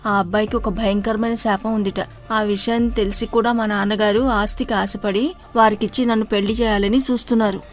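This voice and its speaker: A woman voicing a frightened, distressed young village woman who speaks in a plaintive, despairing way, in an animated story. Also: mid-range voice, a little fast, faint music behind, lightly acted.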